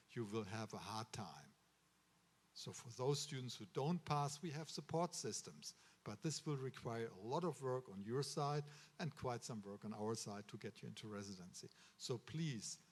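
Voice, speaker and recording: This is a man with a German accent addressing students at a ceremony, earnest and advising. His voice sits at an ordinary middle pitch, and he often stops on uh and restarts his words. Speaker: medical school official addressing students. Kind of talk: ceremony speech. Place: ceremony hall.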